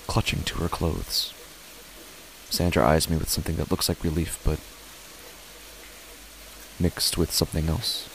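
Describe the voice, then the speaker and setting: A low, gravelly male actor as a father tells his own horror story in an audio drama. He is uneasy and brooding, with halting phrases. Noticeable ambient noise sits behind his voice. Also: rough recording. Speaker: narrator and lead character of a horror audio drama. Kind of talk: first-person horror audio drama narration.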